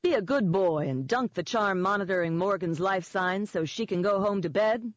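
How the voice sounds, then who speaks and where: A woman's voice: mid-range voice, lively intonation, moderate pace, no room sound, pitched above the performer's own voice, lightly acted. A woman voicing a smug, gloating man in an audiobook, cheerfully taunting and firmly in control. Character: smug, gloating man wheeling and dealing by phone.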